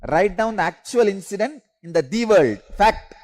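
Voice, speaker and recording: A man, a Tamil speaker, leading a spiritual class with slow, repetitive instructions and emphatic rises. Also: high-pitched, expressive, darkish tone. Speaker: spiritual teacher.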